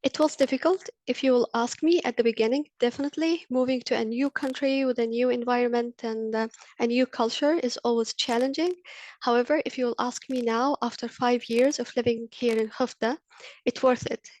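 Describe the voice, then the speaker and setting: A woman's voice: on the high side, steady tone, a little fast, a little mellow, dry recording. A woman in her thirties from Egypt, a university lecturer, giving an earnest webinar presentation with frequent ums and uhs. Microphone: video call.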